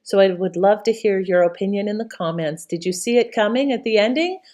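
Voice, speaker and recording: A woman's voice: medium pitch, fairly quick, a little mellow. A woman hosting, talking casually to her listeners as she introduces a show. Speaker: channel host.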